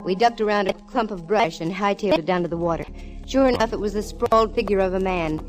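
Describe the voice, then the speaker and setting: A woman's voice: mid-range voice, quick pace, over music, lightly acted, rough recording. An actress playing a cool, wisecracking female private eye in a 1940s radio drama, talking and narrating in a wry, hard-boiled manner. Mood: hard-boiled narration. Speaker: lead actress in radio detective show. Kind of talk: 1940s radio acting, first-person narration. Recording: vintage 1940s radio recording.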